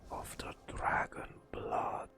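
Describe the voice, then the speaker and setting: A man narrating ASMR in a whispery, breathy voice, with drawn-out, theatrical storyteller phrasing. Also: pushed and bright. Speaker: gaming ASMR host.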